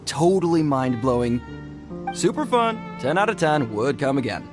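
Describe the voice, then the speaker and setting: A man's voice: medium pitch, very animated, moderate pace, lightly acted. A man playing an odd, chipper young soldier in a video game, easygoing and enthusiastic, talking in a breezy, slangy way. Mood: gleeful. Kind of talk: video game character acting. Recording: music behind the voice.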